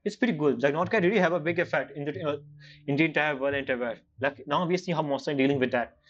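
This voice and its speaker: A man's voice: on the high side, very fast. Muffled man casually commenting on a game. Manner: informal, muttered reactions with slang and swearing. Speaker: gamer commenting on a playthrough.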